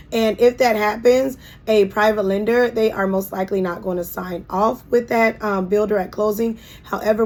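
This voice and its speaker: Hoarse, chatty woman realtor. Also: mid-range voice. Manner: chatty and warm, with frequent ums and restarts. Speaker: real estate agent. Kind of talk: casual explainer video.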